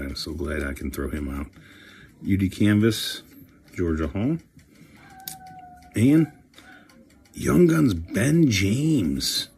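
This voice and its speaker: A man chatting casually and excitably while opening trading card packs, swearing now and then. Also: deep voice, slightly rough. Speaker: career coach and card collector host.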